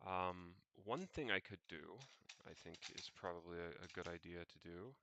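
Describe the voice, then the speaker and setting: A man casually thinking aloud as he narrates his screen on a livestream, pausing mid-sentence and muttering to himself. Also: low-pitched, background noise. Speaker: solo livestreamer.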